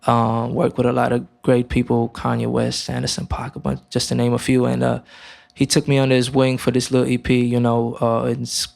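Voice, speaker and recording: A man's voice: medium pitch, creaky. A young man from Romania, a guest musician, talking casually in an interview with many fillers and restarts. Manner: relaxed, halting, many fillers.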